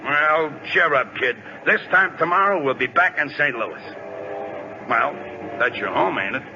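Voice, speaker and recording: An actor playing a gruff, good-natured American baseball catcher in slangy, ungrammatical 1940s radio comedy style. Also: male voice, on the high side, lively intonation. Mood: concerned, chiding. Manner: slangy, ungrammatical, drawn-out hesitations. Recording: dull, hissy old radio recording.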